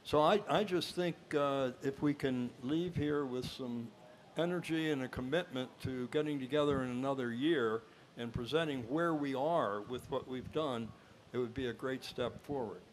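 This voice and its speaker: A man's voice: medium pitch, normal speed, poor audio. A man co-chairing an informal conference panel, talking in an encouraging, persuasive way. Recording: live event with clear background noise.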